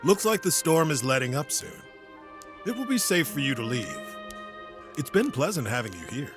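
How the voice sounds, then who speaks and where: An actor playing a legendary warrior hero and commander in an audio drama, weary and formal in a measured delivery. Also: male voice, mid-range voice, sing-song, music in the background, natural voice. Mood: weary, gentle.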